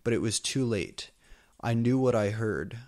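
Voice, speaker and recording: A man's voice: lowish voice, a little slow, a little mellow. A man reading fan fiction aloud for comedy, with a theatrical, exaggerated delivery.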